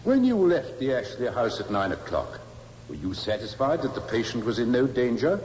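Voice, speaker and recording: A man's voice: medium pitch, very animated, moderate pace, darkish tone, big-room sound, natural voice. Male actor as stern, insistent defense counsel, 1950s radio drama, muffled and hissy old recording. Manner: formal, pressing, insistent. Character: stern, relentless male defense barrister cross-examining witnesses.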